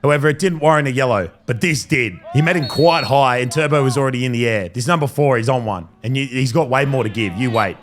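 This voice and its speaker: A man with an Australian accent, hoarse from losing his voice, chatting through a match recap to his viewers in an upbeat voice-over. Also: mid-range voice, fast talker, hardly any pauses. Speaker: club vlogger and coach.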